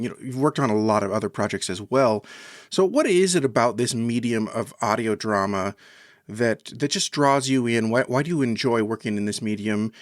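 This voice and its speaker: A man's voice: medium pitch, no echo, clean studio sound. A man hosting a podcast interview in a conversational style.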